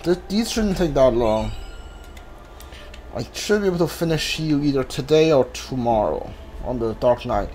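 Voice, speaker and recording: A man's voice: mid-range voice, lively intonation, moderate pace, a little mellow, a little hiss or hum. A man streaming a video game with casual commentary, thinking aloud in fragments and talking to the characters. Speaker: video game streamer playing a blind playthrough.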